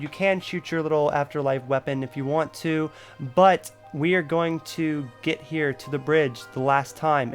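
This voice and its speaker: A man giving a casual game walkthrough, explaining each step informally as the host. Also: fairly high pitch. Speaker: gaming video host. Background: game music.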